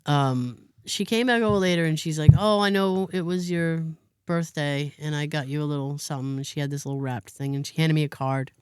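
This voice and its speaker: A woman's voice: sits low, fairly quick, smooth, dry recording, studio quality. An American woman hosting a podcast, telling a story in casual chat and acting out what other people said. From United States. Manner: animated storyteller, acts out other people's lines. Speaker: podcast host.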